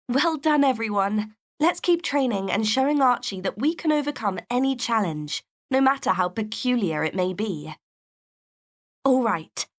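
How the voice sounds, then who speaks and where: A woman playing an earnest, level-headed cartoon heroine, determined and encouraging. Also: fairly high pitch. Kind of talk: scripted character dialogue.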